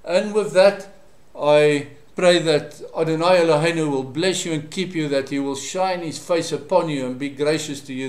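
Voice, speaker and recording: A South African man, a native Afrikaans speaker, giving a Bible teaching in a measured, didactic way, pausing between short phrases. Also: fairly high pitch, darkish tone. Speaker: religious teacher. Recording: echoey, roomy sound.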